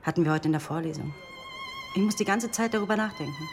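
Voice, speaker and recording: An actress playing an earnest, thoughtful young psychology student in a radio drama, measured and bookish. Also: female voice, mid-range voice, soft background music, lightly acted. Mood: pensive. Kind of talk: German radio drama acting, storytelling.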